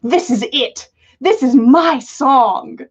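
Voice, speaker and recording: A woman's voice: sits high, animated, slow, husky, full character voice. A woman voicing a flustered, impulsive teenage boy wizard in a story, with melodramatic intensity. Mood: intense, emotional.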